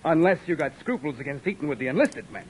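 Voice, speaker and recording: An actor playing a weary beat cop who narrates and trades dry wisecracks in an old-time radio drama. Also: male voice, on the high side, very animated, fast, loud music behind the voice, low-quality recording. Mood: dry, wry. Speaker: narrator and police officer. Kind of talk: 1940s radio acting.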